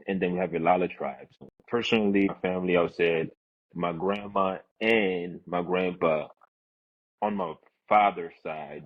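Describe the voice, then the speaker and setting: A man's voice: low-pitched, husky. A young man from Congo who grew up in the US, chatting casually as a podcast guest over a muffled video call. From born in Congo, grew up in the US. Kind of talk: casual chat. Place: room with large windows, indoors. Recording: muffled, narrow-band video call audio.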